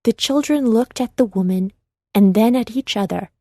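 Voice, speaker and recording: A woman narrating a children's storybook in a measured read-aloud manner. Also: fairly high pitch, mellow, dry recording, studio quality.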